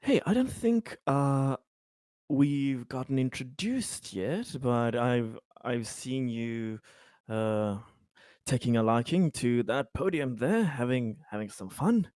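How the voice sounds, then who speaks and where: A man playing an affable, courteous corporate director of a colony in a tabletop game, chatting in a halting, polite way. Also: mid-range voice, lively intonation, a little mellow, dry recording, studio quality. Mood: courteous, chatty.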